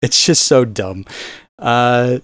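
A man's voice: mid-range voice, slow, no echo. A man co-hosting a film podcast in a casual, rambling, exasperated way, swearing freely. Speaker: podcast host.

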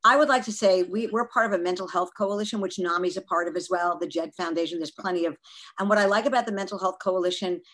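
A woman's voice: fairly low pitch, fast, a bit bright, rough recording. A woman who co-founded a nonprofit speaks frankly and earnestly about mental health in a casual interview. She often restarts her sentences.

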